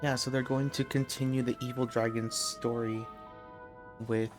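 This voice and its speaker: A man's voice: mid-range voice, little pitch movement, moderate pace. A man giving casual playthrough commentary as a game streamer. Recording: game music behind the voice.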